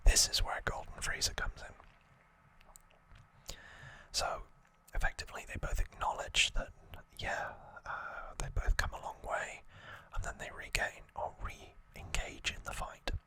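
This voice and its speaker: A man hosting ASMR, explaining trivia in a soft unvoiced whisper with an informal, halting delivery that often corrects itself. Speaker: ASMR host.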